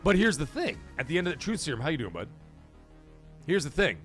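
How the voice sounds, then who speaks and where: A man hosting a casual live commentary stream, talking to his chat in an animated, profane way full of rhetorical questions. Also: on the high side, fairly quick, music in the background. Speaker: livestream host.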